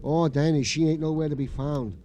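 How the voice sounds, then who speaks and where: An actor playing an excitable, simple-hearted police sergeant in an old radio crime drama, rambling and plaintive. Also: male voice, a little high, fairly quick, lightly acted. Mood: exasperated. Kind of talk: 1940s-50s radio crime drama comic acting. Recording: vintage radio recording with noise.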